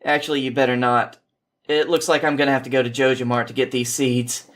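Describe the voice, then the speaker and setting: A man's voice: mid-range voice, flat delivery, brisk, lightly acted. A man voicing a friendly, easygoing young farmer in a story, casual and obliging. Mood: casual, apologetic.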